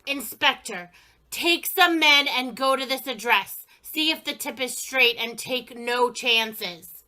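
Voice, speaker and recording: A woman's voice: high-pitched, takes it slowly, pushed and bright, heavily acted, low-quality recording. An actress playing a worried but firm police chief in a radio play, clipped in her orders. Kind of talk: community theater radio play.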